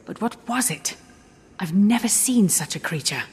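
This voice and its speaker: A woman's voice: medium pitch, lively intonation, moderate pace, faint music behind, close to an everyday voice. An actress playing a serious, concerned woman adventurer in a video game, speaking in an earnest, questioning way. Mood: concerned wonder. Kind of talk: video game character dialogue.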